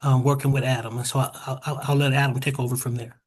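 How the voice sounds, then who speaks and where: A man's voice: medium pitch, flat delivery, fast, breathy edge. A man introducing himself in an education webinar, polite, with ums and repeated words, speaking over a video call. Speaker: education consultant.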